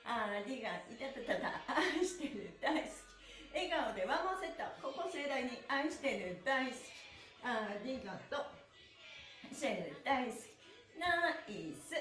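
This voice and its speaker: A woman's voice: on the high side, very animated, a little slow, fairly bright tone, faint music behind. A middle-aged woman instructor leading a live stretching class, cheerful and playful, chanting in rhythm as she moves. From Japan. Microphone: camera across the room. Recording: echoey small room.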